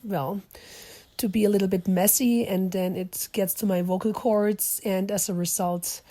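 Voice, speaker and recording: Hoarse woman hosting a podcast. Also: medium pitch, fairly even intonation, normal speed, mellow. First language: German. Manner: chatty, laughs often, asks questions. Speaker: podcast host. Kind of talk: casual interview on the trail. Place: lodging in a small mountain town.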